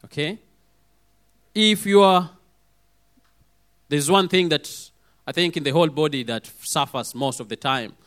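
A man with a hoarse voice preaching a sermon, calling out for amens and acting out little quoted voices as he goes. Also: a little high, unhurried, pauses often. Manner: lively, calls for amens, acts out quoted voices.

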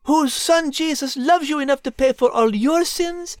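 Casting: prim, sensible French she-cat host played by a woman, very high voice, politely exasperated, close dry recording. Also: normal speed, darkish tone, no echo, close to an everyday voice. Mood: earnest. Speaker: co-host in character. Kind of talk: scripted podcast banter and storytelling.

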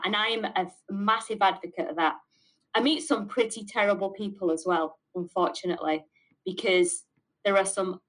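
A woman's voice: medium pitch, low-quality recording. A middle-aged woman with a Mancunian accent, a business owner answering interview questions candidly and stressing points by repeating words. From north of England.

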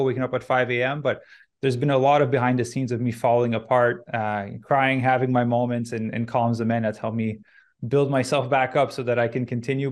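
A young adult man hosting a podcast, talking in an upbeat, quick way with frequent restarts. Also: mid-range voice. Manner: upbeat, fast, many restarts. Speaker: podcast host. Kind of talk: podcast intro and interview.

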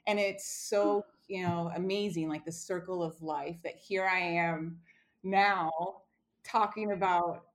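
A woman's voice: on the low side, fairly even intonation, unhurried. A woman from Romania, a cookbook author, telling a personal story in a casual interview, with many fillers and restarts.

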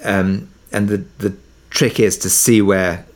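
A man's voice: lowish voice, steady tone, slow pace. A man talking casually as an interview guest, telling production anecdotes in a conversational way and thinking aloud as he goes.

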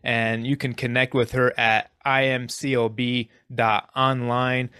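A man's voice: medium pitch, flat delivery, a little slow, sharp tone, clean tone, dry recording, clean studio sound. A man hosting a podcast interview, speaking earnestly and appreciatively, with frequent restarts. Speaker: podcast host.

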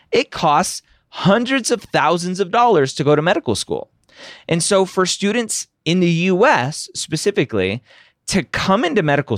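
A man's voice: mid-range voice, no echo, clean studio sound. A middle-aged man hosting a podcast, giving candid, opinionated advice and tagging points with a rhetorical "right?". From United States. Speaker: podcast host answering listener questions. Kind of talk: casual advice Q&A.

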